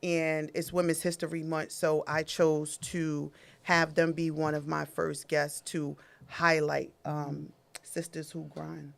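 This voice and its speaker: Low-voiced New woman hosting, easygoing and laughing. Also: flat delivery, slow pace, gravelly. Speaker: host. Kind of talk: casual chat.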